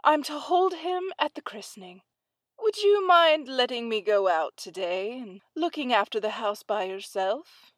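A woman's voice: fairly high pitch, animated, a little slow, dry recording. A woman voicing a sly, greedy male cat in a fairy tale, with a dry, offhand drawl. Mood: coaxing.

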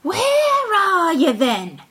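A woman's voice: very high voice, wildly swooping pitch, extremely slow, voice pushed up, exaggerated voice. Woman doing a pair of nervous thieves. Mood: furtive. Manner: hushed, nervous. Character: two furtive adult male thieves.

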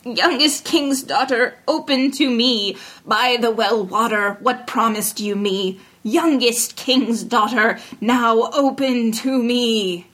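A woman voicing an insistent, plaintive male frog in a fairy tale, speaking slowly and drawing out the words. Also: on the high side, fairly bright tone. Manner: slow, drawn-out, deliberate delivery. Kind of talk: fairy tale storybook character voice.

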